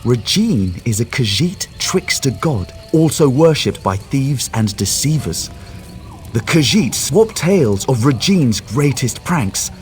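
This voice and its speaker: A man's voice: mid-range voice, sing-song, slow pace, a little mellow, faint music behind. An actor voicing a cautious, scholarly male mage in a video game, speaking formally and precisely. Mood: thoughtful, explanatory. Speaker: quest-giving character.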